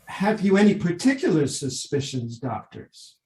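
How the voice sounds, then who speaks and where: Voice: medium pitch, very animated, normal speed, warm tone, close to an everyday voice. An actor as blunt old father, echoey room. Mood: stern, guarded. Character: seventy-five-year-old grieving, suspicious, blunt father. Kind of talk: radio drama acting.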